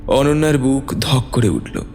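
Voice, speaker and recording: A man tells a scripted, emotional story as narrator. His mid-pitched voice moves expressively up and down. It rings with a long reverb over soft music. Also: lively intonation, a little slow.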